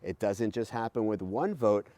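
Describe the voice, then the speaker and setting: A middle-aged American man, a teacher reading aloud to children with an expressive, emphatic delivery and stretched key words, recorded outdoors at close range. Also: on the low side, normal speed, no room sound. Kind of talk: animated children's read-aloud with asides. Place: outdoors beside a house in a garden.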